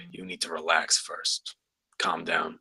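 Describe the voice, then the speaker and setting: A man's voice: sits low, creaky, bright, forceful tone, slightly rough, dry recording, heavily acted, rough recording. A man reading the part of an easygoing, reassuring colleague in a script table read, with a wry, casual manner.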